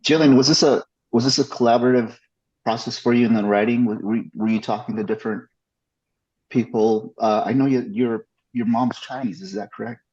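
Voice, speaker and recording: A man's voice: mid-range voice, steady tone, brisk, a little mellow. Podcast host, man, mid voice, prompting questions, dull lo-fi sound. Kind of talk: casual interview over video call. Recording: dull lo-fi call audio.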